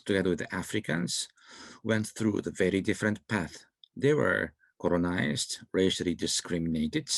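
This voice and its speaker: An older man with a Japanese accent giving a prepared talk as a panel speaker, with halting restarts and humorous asides. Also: low-pitched, gravelly, slightly rough, dry recording. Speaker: panel speaker, former government official.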